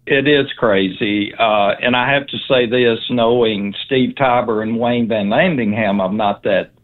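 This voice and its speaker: A man speaking in an earnest, deliberate, conversational way over a phone-like line. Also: mid-range voice. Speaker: interview guest. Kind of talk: measured conversational talk. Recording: thin phone-line sound.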